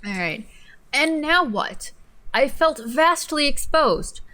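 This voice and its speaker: A young woman with a fairly high voice co-hosts a livestream from home. She reads aloud theatrically and mockingly, slipping into casual, playful banter. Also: expressive, takes it slowly, pushed and bright. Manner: giggly, playful, theatrical when reading aloud. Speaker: livestream co-host. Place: indoors at a desk in a home streaming setup.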